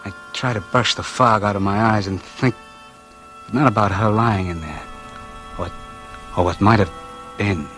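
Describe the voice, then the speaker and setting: Low-voiced, haunted noir antihero. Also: male voice, fairly bright tone. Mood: numb, brooding. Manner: halting, brooding first-person delivery. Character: bitter, numb man narrating his doomed crime story. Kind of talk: 1940s radio noir acting. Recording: vintage radio drama, music underneath.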